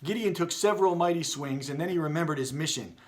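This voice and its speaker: A man hosting a children's read-aloud, moving between expressive storytelling and casual chat. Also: fairly high pitch, quick pace, a little hiss or hum. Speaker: story reader and host.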